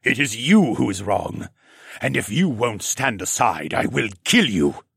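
A man voicing a hulking, half-blind reptilian male regent, bellowing in a slow, menacing, deliberate way. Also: mid-range voice, very animated, gravelly, a bit bright, breathy edge, dry recording, clean studio sound, pitched above the performer's own voice, exaggerated voice. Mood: cold.

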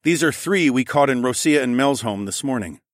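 A man's voice: mid-range voice, expressive, moderate pace, no room sound, clean studio sound, voice pushed up, natural voice. A man voicing a confident, amused young lord, the casual hero of a fantasy audiobook. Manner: cocky, chuckling, casual.

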